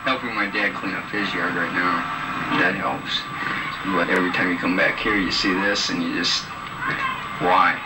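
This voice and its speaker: A man's voice: deep voice, fairly even intonation, moderate pace. A man giving a casual, unscripted answer as a resident in a TV news interview. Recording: distant, very noisy old news videotape.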